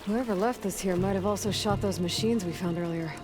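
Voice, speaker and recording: An actress playing a young outcast huntress in a video game, practical and matter-of-fact, thinking aloud. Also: female voice, mid-range voice, brisk, music in the background, close to an everyday voice. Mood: investigating. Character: young female outcast hunter, capable, curious, pragmatic. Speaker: game protagonist. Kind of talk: naturalistic video game cutscene acting.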